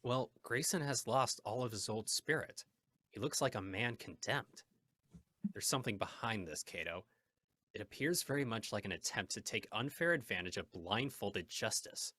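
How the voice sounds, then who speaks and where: Man acting a forceful, low-voiced masked vigilante. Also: lively intonation, normal speed. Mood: grave, determined. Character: resolute, grave male masked vigilante hero. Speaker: actor in a radio play. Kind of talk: old-time radio drama acting.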